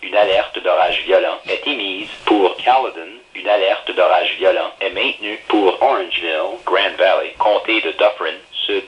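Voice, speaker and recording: A man's voice: sits low, moderate pace, few pauses, highs cut off. A synthetic man's voice formally reading an automated, scripted weather bulletin as a radio announcer.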